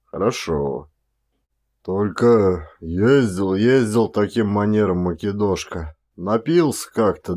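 A man's voice: on the low side, a little mellow. A man voicing a garrulous, indignant Siberian peasant in an audiobook, with folksy, exclamatory, rambling talk. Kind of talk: one-actor audiobook character acting.

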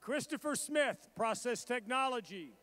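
A man reading out graduates' names and their programs at a commencement ceremony, in a measured and formal roll-call delivery. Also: extremely high pitch, expressive, sharp tone. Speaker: commencement name reader.

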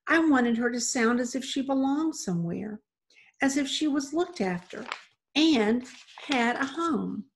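Woman voicing an earnest, pleading young girl, high-pitched. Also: lively intonation, a little slow, creaky, full character voice. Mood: tearful, earnest. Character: pleading, tearful young girl defending kittens.

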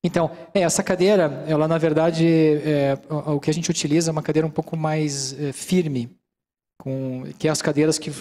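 A middle-aged Brazilian man giving a calm, explanatory lecture in a large auditorium, speaking into a handheld microphone and often checking in with the audience. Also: a little high. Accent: Brazilian Portuguese. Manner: calm, explanatory, many fillers like "né". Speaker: mindfulness lecturer. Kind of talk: relaxed lecture with audience questions.

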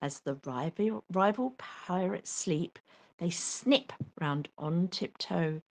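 A woman reading a children's picture book aloud with expressive, theatrical delivery. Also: on the low side, slow pace. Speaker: storyteller.

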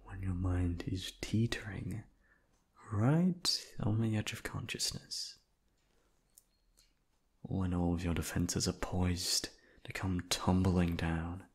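Man acting slow, deep seductive male hypnotist. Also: exaggerated voice. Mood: hypnotic, hushed. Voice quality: deep, breathy, whispery. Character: seductive, teasing, dominant male hypnotist coworker. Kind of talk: ASMR roleplay.

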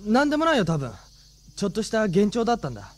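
An actor playing a level-headed, reassuring teenage schoolboy hero in a radio drama. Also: male voice, high-pitched, very animated, very fast. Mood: puzzled.

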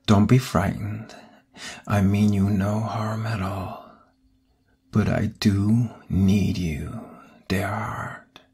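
A man playing an ancient vampire captor in a whispered ASMR roleplay, soothing yet menacing, coaxing softly and deliberately. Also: lowish voice, steady tone, heavily acted. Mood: soothing, ominous. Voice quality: breathy whisper. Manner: slow, hushed, deliberate, coaxing. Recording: close voice over background music. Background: music.

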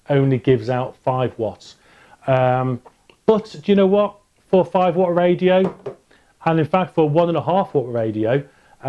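British man, mellow voice, casual reviewer. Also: mid-range voice. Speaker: product reviewer. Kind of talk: casual product review to camera. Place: small home office indoors.

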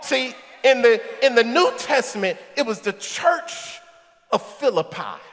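A middle-aged man preaching a sermon in a large church sanctuary on a headset mic, shouting at the peaks and tossing quips at the congregation. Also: very high voice, big pitch swings, unhurried. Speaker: pastor. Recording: echoey sanctuary with congregation and organ. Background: congregation and soft music.

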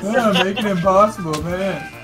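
A man's voice: high-pitched, normal speed, low-quality recording. A man grumbling and mock-threatening his friends in casual banter during a game. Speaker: player in a group game session. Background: loud video game music.

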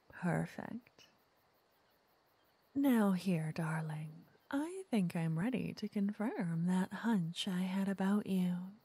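A woman playing a flirty, teasing witch in an ASMR roleplay, softly and often in whispers, intimate and coaxing. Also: fairly low pitch, no room sound, heavily acted. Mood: playful, chatty. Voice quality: soft, breathy, often whispering. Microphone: close microphone.

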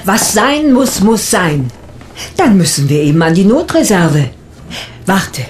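An actress playing a brisk, commanding middle-aged aunt in a radio play. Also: female voice, mid-range voice, very animated, slight background noise. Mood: bossy. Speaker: aunt Mathilda.

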